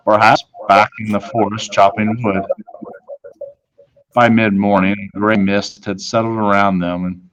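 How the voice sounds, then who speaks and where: A man with a fairly low voice narrates a storybook for children. He reads dramatically and leaves deliberate pauses for effect. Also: poor audio. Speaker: storybook reader.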